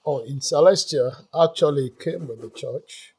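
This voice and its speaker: A man's voice: a little high, normal speed, a little mellow, slightly rough, low-quality recording. A man guest explaining church customs, earnest and hesitant with frequent restarts. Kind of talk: casual explanatory talk.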